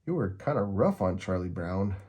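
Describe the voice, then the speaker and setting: A man voicing a sensible, tactful young girl, gently reasoning, in a storybook reading. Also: deep voice, very animated, moderate pace, slightly rough, exaggerated voice. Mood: mild reproach.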